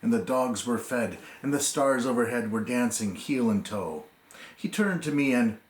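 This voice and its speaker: A man reciting a narrative poem theatrically, in measured phrases with dramatic pauses. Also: mid-range voice, expressive. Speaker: poem reader. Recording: echoey room recording.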